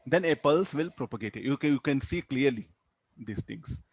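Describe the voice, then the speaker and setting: A man with an Indian accent teaching physics, explaining step by step in a halting way, repeating words and tagging on "huh" and "okay". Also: mid-range voice, animated, husky, no echo. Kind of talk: classroom lesson, explaining a worked problem.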